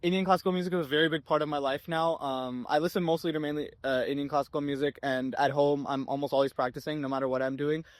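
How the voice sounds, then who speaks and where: A teenage boy answering interview questions, talking quickly and filling pauses with "um" and "uh". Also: fairly high pitch, steady tone, no room sound, studio quality. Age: teens. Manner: fast-talking, with "um" and "uh" fillers. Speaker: student interviewee.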